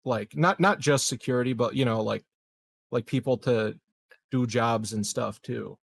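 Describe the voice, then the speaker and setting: A man playing a tabletop game, planning casually in a tentative, halting way with repeated words. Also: mid-range voice, creaky, no echo.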